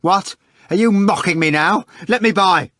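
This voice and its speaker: A man voicing an agitated young poet who shouts in a menacing, furious way. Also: sits high, normal speed, no room sound, studio quality, voice pushed up. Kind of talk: audiobook character voice.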